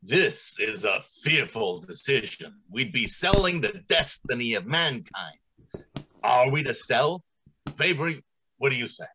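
Guy acting a grave radio drama boss, slow anguished delivery, big swings in pitch. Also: very animated, bright, forceful tone, a little hiss or hum, rough recording. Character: anguished male factory director, grave and formal. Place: indoors, on a video call.

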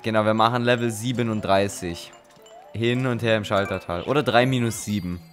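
A man's voice: lowish voice, a little fast. A young man bantering casually as he plays a co-op video game, joking and dropping in English phrases. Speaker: let's player. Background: video game music.

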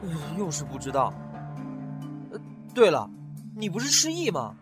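A man voicing a lively, talkative young treasure hunter in an amateur audio drama, teasing and chatty, flustered when scared. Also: on the high side, normal speed. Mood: nervous. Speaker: lead character in an audio drama. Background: music.

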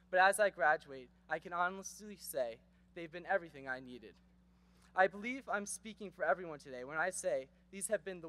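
High-voiced teenage boy giving a speech. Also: pushed and bright. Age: teens. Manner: earnest, rhetorical, with wry asides. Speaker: graduation speaker. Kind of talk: prepared commencement speech. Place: outdoor stadium stage. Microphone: podium microphone.

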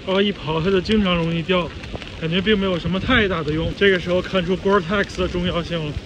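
A man vlogging casually on a hiking trail in heavy rain, talking into a handheld phone with excited exclamations. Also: high-pitched, moderate pace, noisy background. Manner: excited exclamations, chatty asides. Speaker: travel vlogger. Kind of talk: casual on-the-go vlog chat.

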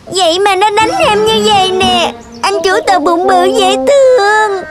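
A little cartoon mouse with a tiny, extremely high squeak of a voice. It is feisty, easily offended and childlike. Music plays behind it. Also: measured pace. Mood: wheedling.

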